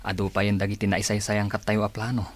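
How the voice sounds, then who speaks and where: A man's voice: lowish voice, monotone, fast talker, close to an everyday voice. A man playing an earnest young suitor, hesitant and sincere, in an Ilocano audio drama.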